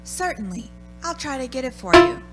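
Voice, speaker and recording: A woman's voice: sits high, sing-song, moderate pace, over loud music. A woman in an old-time radio play playing a businesslike long-distance operator with singsong switchboard formality.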